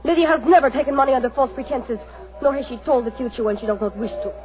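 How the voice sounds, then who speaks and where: An actress playing a proud, fiery young Romany fortune-teller, intense and declamatory, in 1940s radio style. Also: female voice, sits high, fast talker, natural voice. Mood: proud, indignant. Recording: muffled old radio drama, music.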